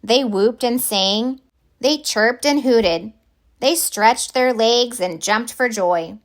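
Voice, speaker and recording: A woman reading a children's picture book aloud in deliberate, expressive narration. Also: fairly high pitch. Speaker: storybook reader.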